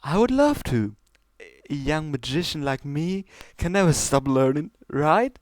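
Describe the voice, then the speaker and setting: A man's voice: on the high side, sing-song, a little slow, dry recording, pitched above the performer's own voice. A man voicing a boastful, theatrical female unicorn pony magician in a story reading, with a grandiose, showy manner. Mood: eager.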